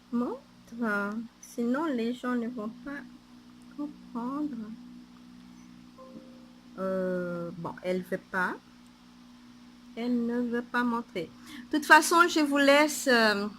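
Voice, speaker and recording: A woman's voice: on the high side, fairly bright tone, a little hiss or hum, poor audio. A woman with a Portuguese accent, a native Portuguese speaker, chatting casually on her knitting podcast, hesitant, with many fillers and restarts.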